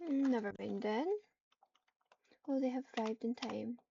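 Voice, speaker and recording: A woman's voice: on the high side, unhurried, gravelly, dark tone. A woman playing an online game, reading out her typed roleplay and muttering casual asides to herself. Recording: dull lo-fi mic, keyboard clatter. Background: typing, clicks and noise.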